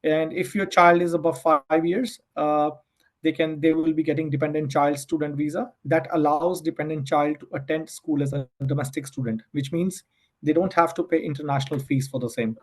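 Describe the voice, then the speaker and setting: Higher-voiced man presenting a webinar. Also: fairly even intonation, normal speed. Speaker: immigration adviser hosting a webinar. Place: online webinar call.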